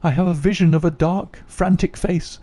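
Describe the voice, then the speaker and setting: A troubled young noblewoman, read by a man confides her secret earnestly. The voice is slightly high and mellow. It is recorded close and dry. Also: fairly even intonation, normal speed, no room sound. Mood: remorseful. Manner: earnest, confessional.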